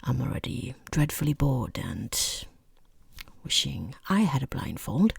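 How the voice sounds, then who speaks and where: Woman playing a whispering, rude boss, low and husky. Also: warm tone, no echo, studio quality, exaggerated voice. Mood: bored, impatient. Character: rude, bored, condescending boss interviewing a job applicant. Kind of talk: soft-spoken ASMR roleplay, mostly whispered. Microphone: close microphone.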